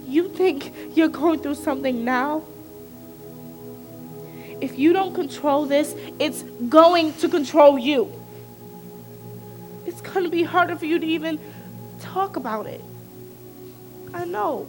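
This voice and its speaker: A woman's voice: high-pitched, slow pace, long pauses. A woman acting a protective teenage best friend in an emotional stage drama, imploring and emphatic. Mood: earnest, urging. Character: earnest, protective teenage best friend, a girl who survived similar hurt. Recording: faint music behind the voice.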